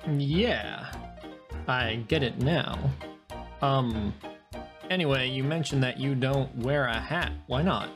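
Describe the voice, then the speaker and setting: A woman voicing a video game frog detective, earnest and polite, with a deadpan, deliberate manner. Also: extremely low pitch, music in the background. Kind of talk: video game character read-aloud.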